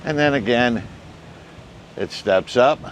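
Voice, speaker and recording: Slow-talking older man, halting. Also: medium pitch, slight background noise. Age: 60s. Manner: halting, deliberate delivery. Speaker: host, ham radio hobbyist. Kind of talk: casual step-by-step tutorial. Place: outdoors, residential backyard and covered patio.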